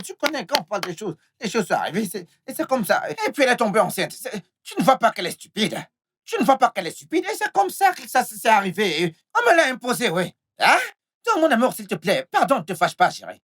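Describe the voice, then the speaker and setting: A man's voice: sits high, huge pitch swings, brisk, fairly bright tone, husky, exaggerated voice. A man playing a volatile, scheming husband in a film melodrama, repeating himself and stammering through his words. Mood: defensive, exasperated. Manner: repetitive, stammering delivery. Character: volatile, scheming unfaithful husband with a short temper.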